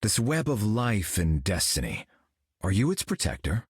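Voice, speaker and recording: An actor voicing a serene, solemn golden cosmic hero for a video game, speaking in a calm, measured, grave way. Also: male voice, lowish voice, slightly rough, no room sound, studio quality, exaggerated voice.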